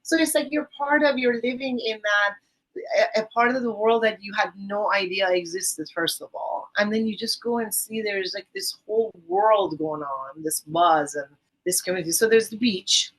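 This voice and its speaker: A woman's voice: mid-range voice, normal speed, fairly bright tone, poor audio. Gushing woman with a Persian accent. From Iran. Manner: enthusiastic, gushing, rambling with restarts. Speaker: guest, volunteer English teacher. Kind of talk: casual podcast interview.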